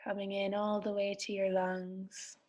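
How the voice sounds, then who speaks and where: Woman gently guiding a meditation. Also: medium pitch, monotone. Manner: soothing, gentle, unhurried instruction with pauses. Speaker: meditation guide. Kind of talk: guided meditation.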